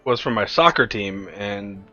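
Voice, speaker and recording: Bright-voiced man bantering over a game. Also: on the low side, lively intonation, a little slow, dull audio, soft background music. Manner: crude joking, swears freely. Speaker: tabletop game player. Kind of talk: casual banter during an online tabletop game.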